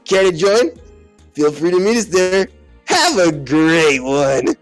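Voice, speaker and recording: An over-eager video game character, pitched far up and swooping into shrillness. The delivery is giddy, sing-song and hammed up, like an amateur game dub, with music playing behind it. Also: male voice, big pitch swings, takes it slowly, no room sound. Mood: giddy, over-excited.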